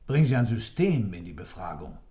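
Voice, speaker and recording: An actor playing an old accused man in a radio play, cryptic and proud, speaking in a measured, ironic, superior way. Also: lowish voice, big pitch swings, dark tone. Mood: proud, confiding. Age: older. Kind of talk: German radio play acting.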